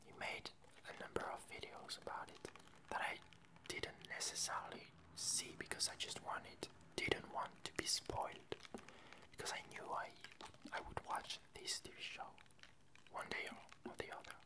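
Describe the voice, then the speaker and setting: A woman whispering a casual ASMR update, talking in halting, softly hesitant phrases. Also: low-quality recording. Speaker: ASMR video creator.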